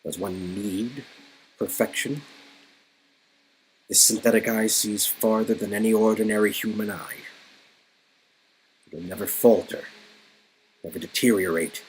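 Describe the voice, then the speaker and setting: A man voicing a haughty, menacing cyborg villain in a game, speaking in a slow, pompous way. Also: fairly low pitch, steady tone, slight background noise, lightly acted, low-quality recording. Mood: cold, haughty.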